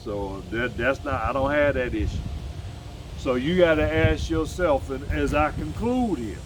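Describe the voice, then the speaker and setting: A man's voice: mid-range voice, animated, unhurried, noisy background. A man in his sixties from the United States, talking alone in a casual vlog about his own life, rambling and correcting himself, with blunt, emphatic asides. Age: 60s. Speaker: vlogger and musician. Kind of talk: casual solo vlog monologue.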